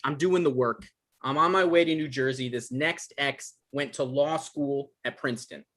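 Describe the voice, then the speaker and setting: A man's voice: medium pitch, fairly bright tone, close to an everyday voice. A man playing an anxious, apologetic male writer who gets defensive and stammers, in a screenplay table read. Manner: halting, stammering.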